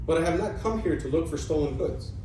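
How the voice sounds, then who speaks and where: A man's voice: fairly high pitch, quick pace, lightly acted. A man playing a woeful, bumbling old debtor turned pupil in a staged reading of an ancient comedy, lamenting theatrically. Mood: stubborn, questioning. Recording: echoey room, distant mic, clear noise.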